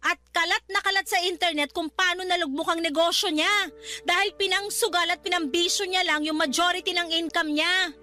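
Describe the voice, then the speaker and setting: High, bright Filipina manager. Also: female voice, steady tone, brisk. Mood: firm, defensive. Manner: emotional, pleading and reproachful. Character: earnest young woman resort manager, wronged but conciliatory. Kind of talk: Filipino radio drama acting.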